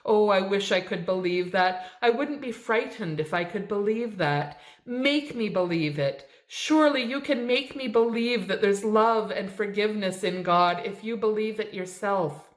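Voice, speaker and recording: A high, shrill voice of a dying woman wracked with fear, begging and frantic, put on by a woman reading a story. Her pleas ring out in an echoey room. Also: measured pace, a bit bright. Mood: despairing.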